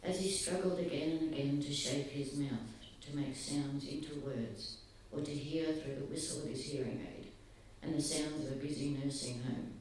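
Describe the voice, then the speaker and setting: Soft-spoken man in an echoing hall. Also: fairly high pitch, a little hiss or hum. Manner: earnest, halting, pausing often. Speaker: speaker at a gathering. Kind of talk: reflective public talk. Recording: very echoey, distant, faint ambience.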